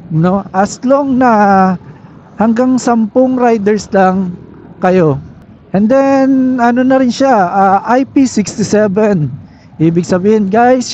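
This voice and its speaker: High-voiced Filipino man on dull helmet mic. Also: warm tone. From Philippines. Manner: explanatory, with frequent tag questions. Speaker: motovlogger reviewing gear. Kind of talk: casual product review to camera. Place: on a moving motorcycle, inside a helmet. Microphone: helmet intercom microphone. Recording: dull helmet intercom audio with riding noise. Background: faint vehicle noise.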